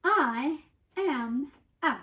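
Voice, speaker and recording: A woman acting out an exuberant young woman with a strikingly high voice, gushing through a theatrical stage monologue. She takes her time and swoops dramatically through every punning phrase. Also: expressive, drawn-out words, a bit bright, full character voice. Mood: gushing, triumphant.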